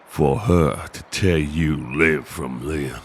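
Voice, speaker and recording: An actor playing a battered, defiant tracker with a gravelly, raspy voice in an audio drama. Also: male voice, extremely low pitch, slow, slight background noise.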